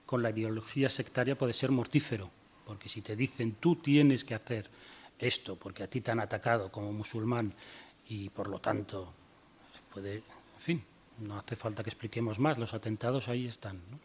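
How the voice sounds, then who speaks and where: A man's voice: on the low side, fairly even intonation, slight background noise. A man giving a short talk on a conference panel, hurrying to finish and reading passages aloud. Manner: hurried, reads quotes aloud. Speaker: panel speaker.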